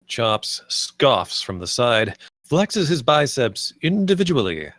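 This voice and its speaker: Male narrator for a table read, mid-pitched, dry close sound, scripted stage directions. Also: big pitch swings, slow, fairly bright tone, dry recording.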